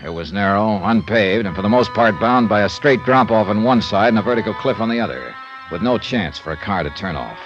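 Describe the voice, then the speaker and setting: An actor playing a wry, easygoing insurance investigator who narrates in a dry, laconic first person, in a 1950s radio drama. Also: male voice, fairly low pitch, brisk, natural voice. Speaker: narrator and lead. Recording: dull old radio broadcast with music.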